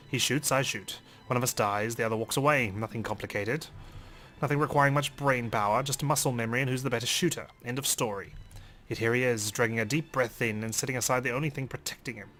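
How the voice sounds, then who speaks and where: A man with a mid-pitched voice reads visual novel narration dramatically and wryly. He moves along quickly, with game music playing behind him. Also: a bit bright. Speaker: let's play narrator. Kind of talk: dramatic first-person visual novel reading.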